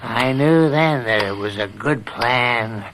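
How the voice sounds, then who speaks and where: An actor playing an evil, lecherous old farm uncle in a radio play, slow, bullying and taunting, on an old noisy tape. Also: male voice, medium pitch. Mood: gloating, cruel.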